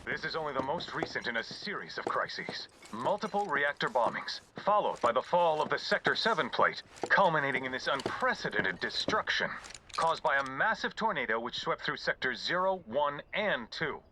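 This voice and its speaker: A grave, official-sounding male newscaster in a video game. His delivery is piercingly bright and animated, stressing each point of the bulletin, with faint noise behind him. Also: a little high, normal speed. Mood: grave, urgent. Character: urgent male news announcer reporting a crisis. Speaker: news announcer. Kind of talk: scripted news bulletin.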